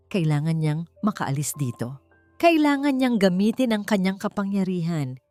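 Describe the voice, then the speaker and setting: A woman narrating a scripted dramatic story with deliberate phrasing, as an audiobook narrator. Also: fairly low pitch, mellow. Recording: music bed behind a dry voice. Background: background music.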